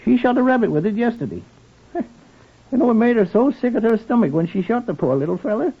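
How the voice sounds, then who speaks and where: An actor playing a garrulous, simple country handyman with a rural English accent and a husky voice, in an old radio drama. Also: very high-pitched, lively intonation, fast talker, full character voice. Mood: chatty. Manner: rambling, chuckling, deferential. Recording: tinny, band-limited old radio recording.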